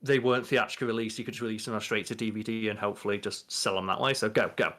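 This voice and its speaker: A man's voice: on the low side, steady tone, rapid-fire, fairly bright tone. A young man, a podcast co-host, chatting casually and explaining in a rambling way from a bedroom on a video call. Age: young adult. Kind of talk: casual movie chat.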